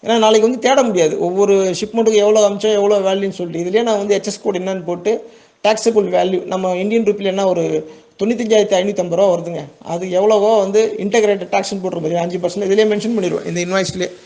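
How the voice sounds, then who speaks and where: Fast, high-voiced Tamil Nadu man explaining. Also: steady tone, warm tone. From Tamil Nadu, India. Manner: conversational, checks in with listeners, repeats words for emphasis. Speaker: export business owner giving a tutorial. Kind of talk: informal step-by-step tutorial narration.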